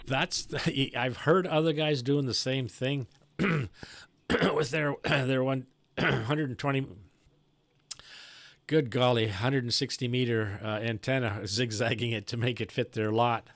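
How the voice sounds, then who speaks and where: A man making casual amateur radio conversation as a streaming operator, in a halting manner with throat clearing. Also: mid-range voice, fairly even intonation, no room sound. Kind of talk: casual ham radio chat. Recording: clear background noise and clicks.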